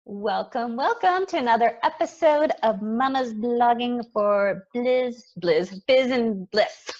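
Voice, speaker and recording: A Canadian woman hosting a podcast in a casual, excitable, slangy way, on slightly dull audio. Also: a little high, takes it slowly, slight background noise. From Montreal, Canada. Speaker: podcast host. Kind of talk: casual chatty podcast interview.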